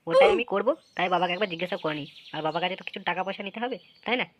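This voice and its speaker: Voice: medium pitch, animated, rapid-fire, natural voice. A performer voicing a cheeky, idle young man in a comic cartoon, with crude, slangy delivery. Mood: eager, evasive. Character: Futo, cheeky idle young man turning fish seller. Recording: dull lo-fi audio with some noise.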